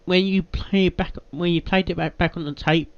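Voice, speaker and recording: A man hosting a casual reaction, talking in a halting, rambling way. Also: high voice, fairly even intonation, dry recording. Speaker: reaction host. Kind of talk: casual spoken reaction commentary. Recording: dull, lo-fi home recording.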